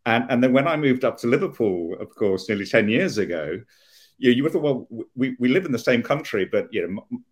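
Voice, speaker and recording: A man raised in Kenya with a posh Southern English accent, talking thoughtfully as a guest with many halting restarts. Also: medium pitch, lively intonation. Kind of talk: conversational interview.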